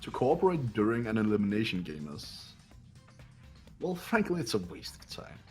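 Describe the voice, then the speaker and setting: A man's voice: lowish voice, very animated, normal speed, a little mellow, faint music behind. A man playing an arrogant, cold young heir in a fan-voiced game, speaking in a formal, condescending way. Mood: haughty, cold. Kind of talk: amateur voiced video game playthrough.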